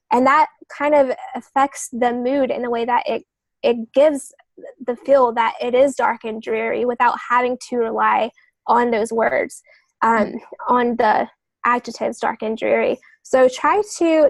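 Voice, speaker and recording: Higher-voiced woman, hesitant podcast guest. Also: no room sound, low-quality recording. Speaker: guest novelist. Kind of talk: explanatory podcast discussion.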